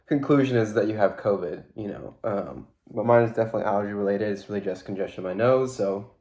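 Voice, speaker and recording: A man's voice: on the low side, fast, echoey room. A man hosting his own fitness podcast, talking in a loose, rambling way with lots of fillers, his voice stuffy and congested from a blocked nose. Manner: relaxed, rambling, many fillers. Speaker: podcast host. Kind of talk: casual solo podcast talk.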